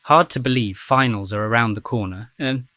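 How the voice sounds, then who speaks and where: Man playing a measured, bookish young roommate. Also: mid-range voice, sharp tone, natural voice. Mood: friendly, thoughtful. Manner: measured, slightly formal phrasing. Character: blond young man, tidy bookish early-rising roommate, gently teasing. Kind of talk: audio drama acting.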